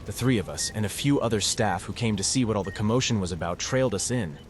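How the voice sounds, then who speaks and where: A man's voice: on the low side, quick pace, a little hiss or hum, lightly acted. A man playing a shaken young medical student, narrating and acting in a horror audio drama in an earnest, somber way. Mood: grave. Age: young adult.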